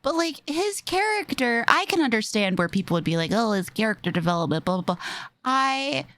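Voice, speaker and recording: Animated, emphatic woman podcaster. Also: fairly high pitch, a little fast, no room sound. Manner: gushing, emphatic, profane. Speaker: podcast co-host. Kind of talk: casual chat, movie discussion.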